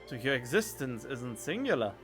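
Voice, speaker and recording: A man's voice: mid-range voice, very animated, normal speed. A man giving casual game playthrough commentary, musing aloud and talking back to the game into a close studio microphone. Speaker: game streamer. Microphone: close studio condenser microphone. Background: game music.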